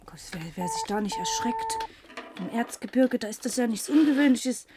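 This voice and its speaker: A woman's voice: high voice, big pitch swings, moderate pace. An actress in a radio play playing a timid, flustered female night porter who stammers and hesitates. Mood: uneasy musing. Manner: stammering, hesitant, easily startled. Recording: noisy radio play recording.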